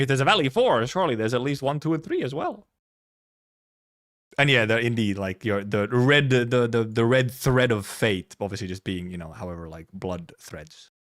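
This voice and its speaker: A man's voice: mid-range voice, a bit bright. A man streaming a casual game trailer reaction, rambling playfully, repeating himself and swearing casually. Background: faint music.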